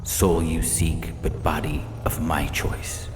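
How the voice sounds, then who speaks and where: A man voicing a gloating trickster god in grandiose, archaic, drawn-out phrases for a science fiction story. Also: a bass voice, level tone, echoey room, in a large hall. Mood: amused, taunting. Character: gloating trickster god with pointed metallic teeth. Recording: music behind the voice.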